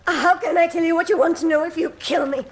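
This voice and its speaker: A woman's voice: very high voice, fairly even intonation, fast. A woman playing a desperate but defiant young captive in a radio drama, pleading and melodramatic. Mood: terrified. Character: desperate, defiant young servant-born adventuress held captive.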